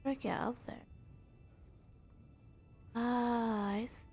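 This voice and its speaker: A woman's voice: a little high, fairly even intonation, slow pace, darkish tone, breathy edge. A woman gaming streamer giving casual, reactive commentary, often muttering to herself as she plays. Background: game music.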